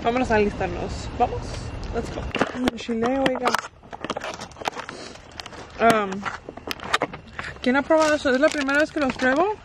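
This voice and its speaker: A woman's voice: sits high, normal speed, long pauses, heavy background noise. A young woman vlogging on a handheld camera, chatting playfully and casually. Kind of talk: casual vlog chat.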